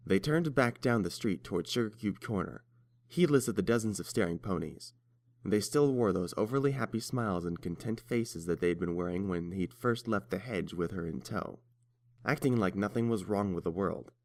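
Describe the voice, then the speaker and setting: A man's voice: on the low side, dry recording, studio quality. A man reading scripted story narration as the narrator of a tale.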